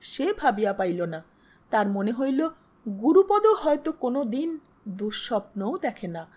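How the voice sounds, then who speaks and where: Lady reading a dramatic short story, quite high voice, measured and expressive, pauses between phrases. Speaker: storyteller, audio story narrator. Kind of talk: dramatic literary narration of a Bengali short story.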